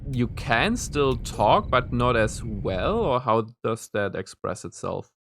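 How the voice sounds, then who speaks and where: Male streamer, mid-pitched, casual and rambling, answers chat between moves, slight hiss behind him. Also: big pitch swings, takes it slowly, gravelly, fairly bright tone. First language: German. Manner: thinks aloud, rambling with hedges and restarts; answers chat messages as he plays. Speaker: game streamer. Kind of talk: casual live-stream chat while playing.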